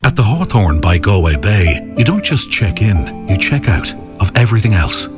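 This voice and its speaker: A man narrating a luxury hotel advert, slow and soothing. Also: on the low side, sing-song, over music. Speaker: advert voice-over.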